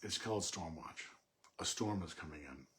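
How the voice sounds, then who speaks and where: A man informally analysing a poem, thinking aloud in a halting, reflective way with pauses between short phrases. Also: deep voice, animated, a bit bright, a little hiss or hum. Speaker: poetry commentator.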